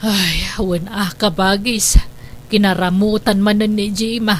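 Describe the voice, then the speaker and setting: A woman acting as an elderly grandmother in a radio play speaks slowly and softly coaxes. She sounds long-suffering, gentle and sorrowful, pleading with patience. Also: mid-range voice, steady tone, slight background noise, close to an everyday voice. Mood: sorrowful, resigned.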